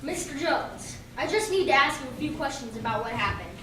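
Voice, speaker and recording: A woman's voice: high-pitched, lively intonation, fairly quick, fairly bright tone. A woman playing a polite, methodical male detective in an amateur radio play. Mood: inquiring. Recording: very echoey room, distant mic, faint hiss.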